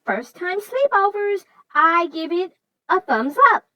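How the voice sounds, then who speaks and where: Children's story sidekick: squeaky high, chirpy, animated, close dry sound. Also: female voice, slow pace, no echo, full character voice. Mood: cheerful, chatty. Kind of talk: scripted chat after a children's read-aloud.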